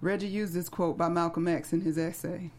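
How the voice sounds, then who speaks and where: An actress playing an earnest, grieving high school teacher at a screenplay table read. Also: female voice, steady tone, brisk, a little mellow, lightly acted. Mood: solemn, moved.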